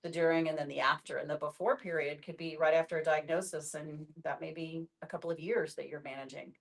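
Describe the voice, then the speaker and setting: A woman explaining to a discussion group in a conversational, somewhat halting way. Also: deep voice, fairly even intonation, creaky. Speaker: palliative care professional.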